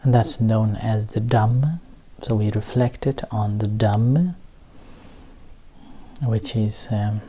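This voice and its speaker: Slow, mellow male meditation teacher. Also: fairly low pitch, slight background noise. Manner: halting, measured phrasing with long pauses. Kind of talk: guided meditation talk.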